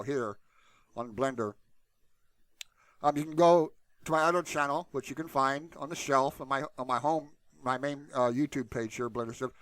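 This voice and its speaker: A man talks through a screen share in a casual, unscripted style. His delivery is blunt and colloquial, and he rambles as he goes. His mid-pitched voice is recorded close and dry. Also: fairly bright tone, no room sound. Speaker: tutorial channel host.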